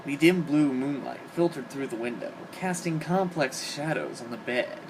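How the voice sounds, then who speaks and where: Male reader, mid-pitched, dramatic delivery of comic fan fiction, noisy amateur setup. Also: expressive, moderate pace. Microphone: home microphone on an internet call. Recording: hobby voice-call recording, clear background noise.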